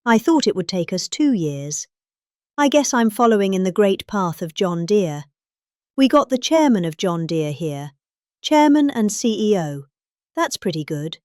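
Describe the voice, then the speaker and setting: A woman's voice: medium pitch, sing-song, measured pace, darkish tone, dry recording, clean studio sound. A middle-aged woman with a British accent, giving a dramatic reading of a political speech. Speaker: reader.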